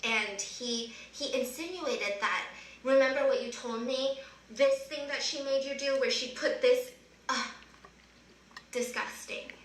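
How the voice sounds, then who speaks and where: A woman in her twenties with a high-pitched, loud voice, earnestly recounting a personal story. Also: unhurried. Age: 20s. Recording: roomy, distant sound with faint noise from a played clip.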